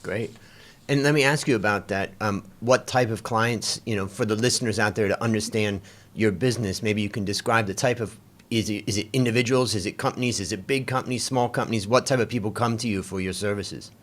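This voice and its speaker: A man's voice: lowish voice, brisk. A man hosting an interview, asking curious, conversational questions. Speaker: podcast host.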